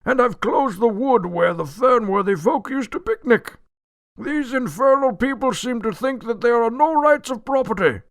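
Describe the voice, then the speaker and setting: An actor in a radio drama playing a cantankerous, litigious old gentleman, pompous and indignant, declaiming in a creaky voice. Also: extremely high pitch, lively intonation, moderate pace, no room sound, exaggerated voice. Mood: boastful, triumphant. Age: older.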